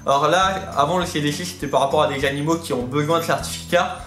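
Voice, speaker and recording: A man's voice: fairly high pitch, fast talker, a bit bright. A man with a slightly lisping, unusual articulation, chatting in a talkative, rambling way as host of a casual hobby video. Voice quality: atypical articulation, slight lisp-like speech impediment. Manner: talkative, rambling, repeats himself. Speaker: YouTube host of a reptile hobby channel. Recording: roomy echo, faint music behind.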